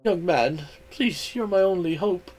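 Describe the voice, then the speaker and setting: A man's voice: sits high, measured pace, a little mellow, pitched above the performer's own voice. A man voicing a small male mouse who begs politely for help but is secretly sly. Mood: pleading. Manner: polite, wheedling, overly courteous.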